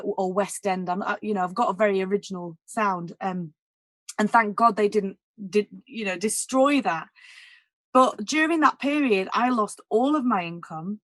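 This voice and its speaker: Woman, jazz singer, naturally husky voice, candid and chatty with fillers, dry close sound. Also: medium pitch, normal speed, no echo. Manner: candid and emotional, many "like" and "you know" fillers. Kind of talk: casual interview conversation.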